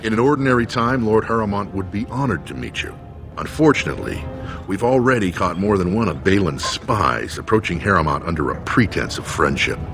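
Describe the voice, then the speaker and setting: A man's voice: deep voice, lively intonation, a little fast, over music. An actor playing an earnest, guarded dwarf nobleman's loyal second, speaking formally and with measure in a fantasy game. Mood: courteous, guarded. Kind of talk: dramatic fantasy game acting.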